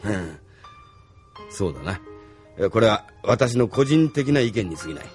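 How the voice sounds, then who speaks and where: A man's voice: lowish voice, very animated, unhurried, soft background music. An actor playing a shrewd, opinionated middle-aged strategist in an anime audio drama, arguing his case with assurance. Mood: assured, critical. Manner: assured, argumentative.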